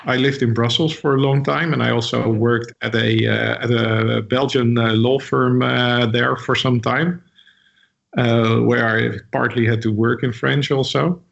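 A man whose first language is Dutch, answering interview questions in English. His voice has a gravelly edge, and he talks haltingly, full of fillers and restarts. The audio sounds dull and lo-fi. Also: medium pitch, steady tone, fairly bright tone. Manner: hesitant, many fillers and restarts. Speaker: interview guest. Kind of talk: casual interview conversation.

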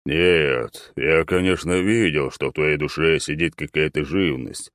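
Male reader as fantasy audiobook father god of the trees, deep, ponderous, weary and irritable, dry sound. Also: fairly bright tone, no echo. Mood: indignant, defensive. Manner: slow, heavy, exasperated.

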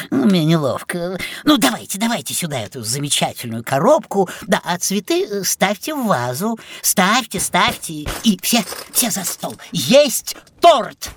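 A woman playing a fussy, theatrical, good-natured old-fashioned gentleman in a children's audio drama, with a hesitant way of talking. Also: lowish voice, big pitch swings, a little slow, slightly rough, heavily acted. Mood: bashful, fussy.

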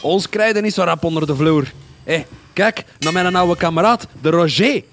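A man's voice: a little high, moderate pace, fairly bright tone, a little hiss or hum. A man with a Flemish accent playing a hearty, chatty working-class blacksmith in a comic radio drama, in folksy dialect.

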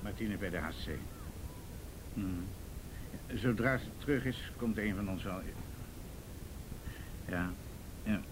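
An actor playing a businesslike policeman in a radio drama, matter-of-fact and low-key. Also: slow pace, pauses often. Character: businesslike male police officer questioning by telephone. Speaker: police officer. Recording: noisy radio drama recording.

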